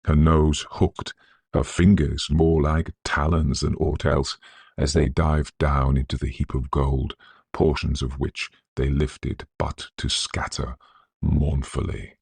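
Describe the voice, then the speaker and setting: A man with an extremely low, creaky voice narrates a gothic tale in a close, dry recording. His delivery is scholarly and measured, full of atmosphere, as in a classic dramatic audiobook. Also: level tone, darkish tone, no room sound. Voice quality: gravelly, husky. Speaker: narrator of a Victorian ghost story.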